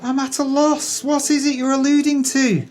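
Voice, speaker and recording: A man's voice: very high-pitched, little pitch movement, normal speed, a little mellow. Sneering jealous swindler, a man acting, music behind. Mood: baffled. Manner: sneering, grandiose, wordy. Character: jealous, callous, sneering male swindler, self-pitying. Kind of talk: audio drama acting.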